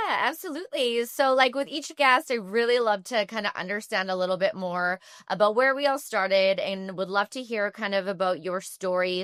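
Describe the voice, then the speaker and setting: A woman's voice: medium pitch, sharp tone, clear, resonant tone, no room sound, clean studio sound. A woman hosting a podcast interview, upbeat and chatty, with lots of "kind of" and "sort of" fillers. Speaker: podcast host.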